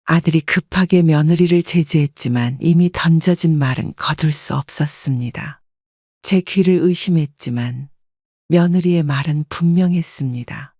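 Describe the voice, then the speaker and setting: Older woman narrator, calm measured first-person storytelling, dry close sound. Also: deep voice, no echo.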